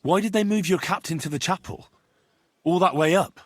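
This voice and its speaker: An actor playing an earnest young blacksmith's son in a video game, speaking plainly. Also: male voice, high-pitched, moderate pace.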